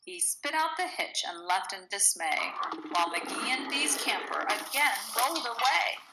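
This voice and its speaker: A woman reading a rhyming children's picture book aloud in an expressive, sing-song storytelling manner. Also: on the high side, measured pace, very noisy. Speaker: storybook reader.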